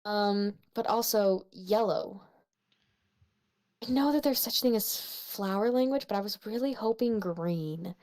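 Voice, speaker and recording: A woman's voice: medium pitch, unhurried, darkish tone. A young woman co-hosting a fan fiction reading, giving casual, blunt commentary in informal interjections. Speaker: co-host critiquing fan fiction.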